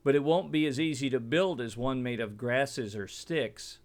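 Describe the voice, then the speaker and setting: A man's voice: medium pitch, normal speed, lightly acted. A man voicing small, industrious female dassie sisters from a storybook, in a sweet, singsong, emphatic manner. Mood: cheerful.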